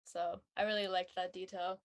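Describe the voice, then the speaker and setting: A woman's voice: lowish voice, level tone, normal speed. A woman talking casually as a panelist. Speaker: talk show panelist. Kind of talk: casual chat.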